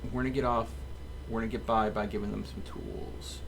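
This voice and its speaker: A man's voice: lowish voice, fairly even intonation, a little fast, creaky, a little hiss or hum. A man doing live gameplay commentary as a streamer, chatting to his viewers and muttering to himself as he plays. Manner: talks to chat and mutters to himself. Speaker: game streamer.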